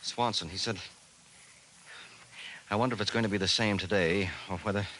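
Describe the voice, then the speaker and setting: Casting: bewildered, anxious American suburban husband, forceful urgent delivery, vintage radio play with faint hiss. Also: male voice, lowish voice, steady tone. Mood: agitated. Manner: urgent, halting, emphatic. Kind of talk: 1950s radio drama acting. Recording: hissy 1950s radio recording.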